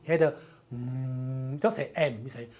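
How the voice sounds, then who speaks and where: A man's voice: medium pitch, a little slow, darkish tone. A man hosting a live spiritual question and answer session, explaining ideas patiently and repeating key phrases.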